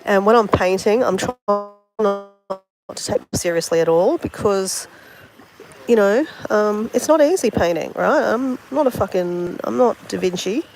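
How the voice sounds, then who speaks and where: A woman's voice: medium pitch, expressive, moderate pace, a little mellow, soft background music, low-quality recording. Chatty Australian woman with a sniffly cold. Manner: chatty, self-mocking, talks straight to viewers. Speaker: travel vlogger. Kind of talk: casual livestream chat while painting. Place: outdoors by the sea on an island.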